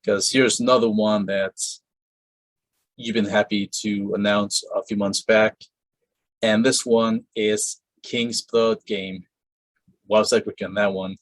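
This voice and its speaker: A man hosts a casual interview, his voice low and his delivery unhurried. He rambles, restarts his sentences and leans on fillers. The mic is close and dry, with no room sound. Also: level tone, no room sound, rough recording. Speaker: podcast host interviewing a voice actress.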